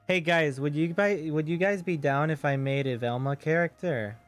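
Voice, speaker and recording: Deep-voiced man chatting with his stream. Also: moderate pace, soft background music. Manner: chatty, joking, reads viewer messages aloud. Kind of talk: casual chat with stream viewers.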